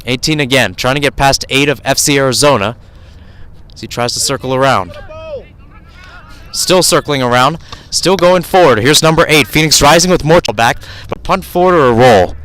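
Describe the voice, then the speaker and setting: Male radio soccer commentator, mid-pitched voice, live outdoor call, digressive and self-correcting. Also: moderate pace, a bit bright, smooth. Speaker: radio play-by-play announcer. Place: outdoors at youth soccer fields.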